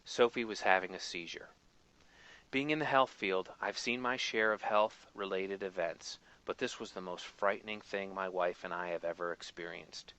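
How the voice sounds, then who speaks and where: A man's voice: deep voice, steady tone, normal speed, fairly bright tone, clear, resonant tone, poor audio. A man reading a scripted first-person story as advert narration.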